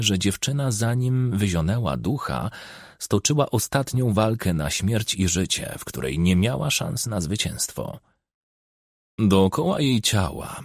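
A man narrating a crime novel as an audiobook in speech, with measured, expressive storytelling. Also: on the low side, dry recording, studio quality.

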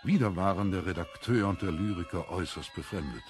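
A man narrating a literary story from a script in a measured storytelling manner. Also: deep voice, music in the background.

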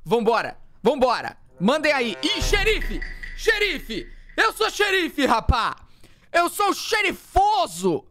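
A man hosting a casual gaming session with friends, teasing them and bursting into shouts. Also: very high voice, wildly swooping pitch, takes it slowly. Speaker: channel host.

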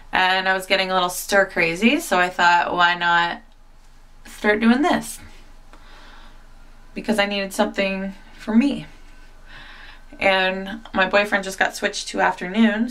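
A woman's voice: mid-range voice, fairly bright tone. A young woman, a new mom, chatting casually to camera at home with lots of fillers. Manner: chatty and self-deprecating, many fillers. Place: home, indoors. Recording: voice a bit far from the mic.

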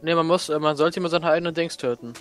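A man chatting casually and excitably as he plays a game with friends. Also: a little high, fast talker, soft background music. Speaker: let's player in a group. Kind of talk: casual gaming chat.

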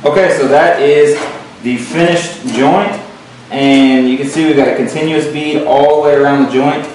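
A man's voice: a little high, fairly even intonation, normal speed, noisy background. A male welding instructor giving a hands-on lesson, in a plain way with lots of fillers and repeated words. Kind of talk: hands-on tutorial. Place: metal workshop. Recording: very echoey workshop, distant mic.

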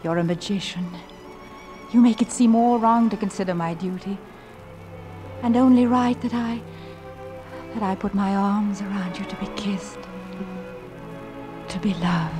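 A woman's voice: mid-range voice, a little slow, pauses often, music in the background, natural voice. A woman playing a torn, lovestruck mother in a hesitant, emotional 1940s radio-play style. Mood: torn, yearning.

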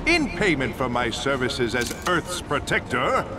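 An actor voicing a scheming billionaire villain in a video game, grandiose and self-important. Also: male voice, medium pitch, sing-song, unhurried. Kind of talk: video game cutscene voice acting.

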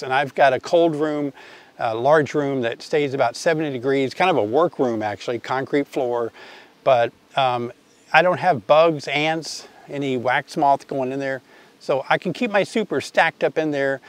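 An older man giving a chatty, enthusiastic beekeeping tutorial outdoors in an apiary, talking straight to viewers. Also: a little high. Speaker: beekeeping teacher. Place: outdoor apiary, grassy field.

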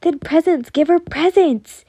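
A woman voicing an eager, cheerful, excitable small boy in a story reading. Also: extremely high pitch, unhurried, dry recording, pitched above the performer's own voice. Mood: urgent. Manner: beaming, excitable.

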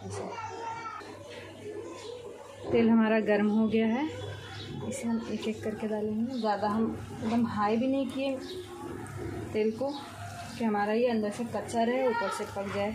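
Woman calmly narrating a home recipe. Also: on the high side, unhurried, long pauses, noisy background. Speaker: cooking channel host. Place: home kitchen.

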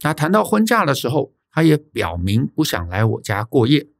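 A man's voice: medium pitch, huge pitch swings, normal speed, gravelly. A man with a Taiwanese Mandarin accent hosting a podcast, speaking conversationally and explaining as he reads aloud. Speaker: podcast host. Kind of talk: conversational podcast, reading out a listener's letter.

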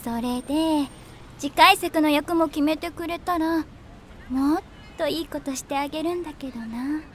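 Woman playing a high, cutesy scheming starlet. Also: normal speed, a bit bright, a little hiss or hum. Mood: coy. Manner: cutesy, coquettish.

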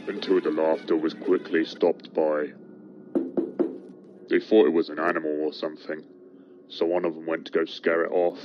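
Man voicing a very deep, ominous engine storyteller. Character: grim older steam engine telling a dark tale. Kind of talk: slow dramatic horror storytelling. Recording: muffled, very noisy recording.

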